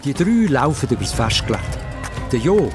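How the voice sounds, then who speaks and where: A man's voice: medium pitch, very animated, normal speed, music in the background. A man with a Swiss German accent narrating a children's radio play in a dramatic storytelling style.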